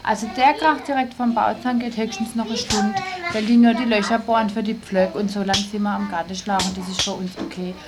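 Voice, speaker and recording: A woman's voice: medium pitch, fairly even intonation, a little fast, hardly any pauses. A woman answering questions casually in an on-site interview. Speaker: interviewee, protesting childcare worker. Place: on site beside a building site. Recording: noisy on-site field interview.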